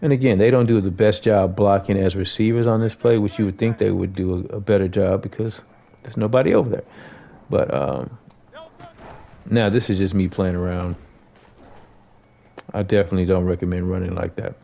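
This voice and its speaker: A man's voice: low voice, fairly even intonation, moderate pace, gravelly, pauses often, dark tone. An American man giving a casual, conversational gameplay tutorial, talking informally to viewers as a host.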